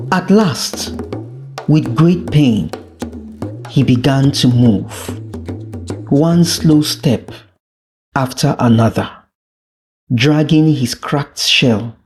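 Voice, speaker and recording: Very slow, dramatic folktale narrator. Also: mid-range voice, a little mellow. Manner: dramatic, deliberate storytelling. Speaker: storyteller, narrator.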